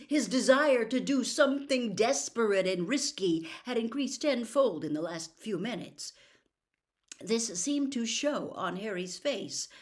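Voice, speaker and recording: A woman narrating a story aloud in a deliberate, dramatic and expressive storybook style. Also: a little high. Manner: deliberate, expressive, theatrical reading.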